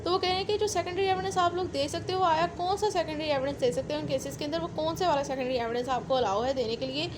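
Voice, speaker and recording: Woman, Pakistani accent, high voice, law teacher, explains step by step, noisy amateur recording. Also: quick pace, hardly any pauses. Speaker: law lecturer. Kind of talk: explanatory lecture, reading out and explaining legal articles.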